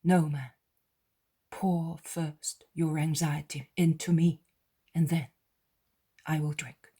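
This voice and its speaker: A woman voicing a calm, kind male teacher who speaks gently and steadily. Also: on the high side, breathy edge, heavily acted. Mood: gentle. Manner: gentle, measured.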